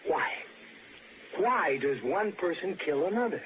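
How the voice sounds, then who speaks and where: An actor playing a sly, intense stranger with an insinuating, theatrical delivery in a 1960s radio suspense play. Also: male voice, sits high, lively intonation, measured pace. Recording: noisy, dull old radio recording.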